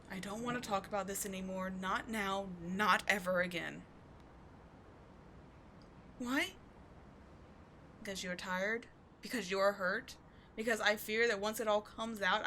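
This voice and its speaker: A woman's voice: medium pitch, sharp tone, slight background noise, close to an everyday voice. A woman playing a bitter, passionate villain with secret devotion, speaking in a theatrical, intense, emphatic way in a dramatic audio roleplay. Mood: reluctant, tender. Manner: theatrical, intense, emphatic, with sighs and dropped whispers. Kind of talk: dramatic audio roleplay monologue addressed to the listener.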